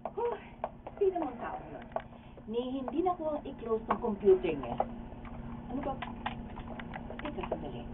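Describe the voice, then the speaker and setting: Woman acting a high, animated, inquisitive friend. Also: moderate pace, pauses often. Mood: shocked. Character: earnest, inquisitive woman friend investigating a slander. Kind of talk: radio drama acting in Tagalog. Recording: noisy, narrow-band, distant behind-the-scenes camera audio.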